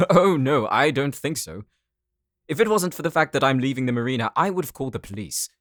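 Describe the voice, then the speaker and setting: A man's voice: medium pitch, a bit bright. An actor playing a nervous, exasperated male researcher in an audio drama, anxious and pleading but polite.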